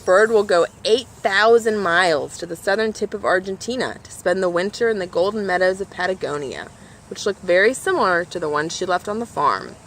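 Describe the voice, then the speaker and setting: A woman's voice: medium pitch, lively intonation, fairly quick, a bit bright, slight background noise, low-quality recording. A woman reading a children's picture book aloud, explaining things directly to her listeners as she goes. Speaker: storybook reader for a nature organization.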